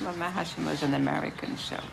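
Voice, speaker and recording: Gravelly old woman from Vienna. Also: sits low, steady tone, background noise. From Vienna, Austria. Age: 80s. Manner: chatty and teasing, tosses back quick questions. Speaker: salon customer. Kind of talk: casual chat. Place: small hair salon indoors. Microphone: film camera from a few metres.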